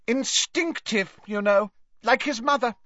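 A man voicing a jovial, tipsy older male professor in an audiobook, booming and effusive. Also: measured pace, pushed and bright, no echo, highs cut off, heavily acted.

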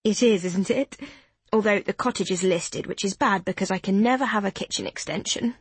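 A woman voicing a cheerful, excitable young mother who talks quickly and pokes fun at herself, in a slightly husky voice. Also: medium pitch, no room sound, lightly acted. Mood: chatty. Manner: bubbly, quick, self-deprecating.

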